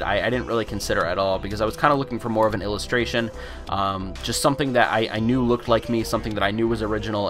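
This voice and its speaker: Fast-talking congested young guy. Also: lowish voice, fairly bright tone. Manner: rambling, repeats words, occasional swearing. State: congested from a cold. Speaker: gaming YouTuber. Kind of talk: casual screen-recording commentary.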